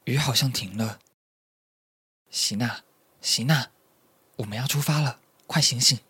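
A man's voice: mid-range voice, unhurried, bright, forceful tone, breathy edge, heavily acted. A man acting as an inquisitive, slightly hesitant young traveller in an audio drama, speaking mildly. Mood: gently urging. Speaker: voice actor.

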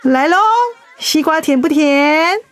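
An actress playing a warm, reassuring close friend in a radio drama, expressive and encouraging. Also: female voice, high voice, takes it slowly. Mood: cheerful.

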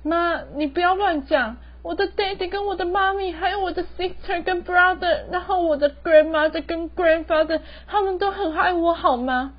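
A woman's voice: very high voice, normal speed, hardly any pauses. A young woman with a Taiwanese accent playing a meek, spoiled city daughter-in-law in an amateur radio play, whiny and pleading. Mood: tearful, defensive. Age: young adult. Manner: whiny, sprinkles English words into speech. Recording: dull, lo-fi recording.

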